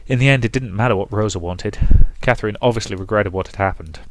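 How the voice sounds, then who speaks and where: A man with a fairly low voice narrates a visual novel aloud in a storybook style. The recording is dry and close, with little room sound. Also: quick pace, no echo. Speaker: let's player reading a visual novel aloud.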